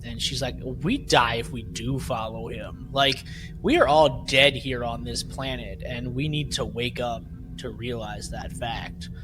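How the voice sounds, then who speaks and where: A man's voice: mid-range voice, steady tone, a little slow, over music. A man co-hosting a podcast in casual discussion, retelling scenes and often checking his points with "right?". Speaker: podcast co-host. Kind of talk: casual discussion recapping an audio drama.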